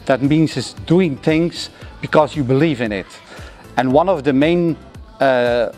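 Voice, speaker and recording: A middle-aged man with a Flemish accent speaks at a medium pitch, reflecting slowly and stopping often for "uh" as he searches for words. His phrasing is careful and a bit non-native. Faint music plays underneath. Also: a little mellow. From Belgium (Flanders). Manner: halting, frequent "uh" pauses, non-native phrasing. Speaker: interviewee, entrepreneur. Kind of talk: reflective interview answers.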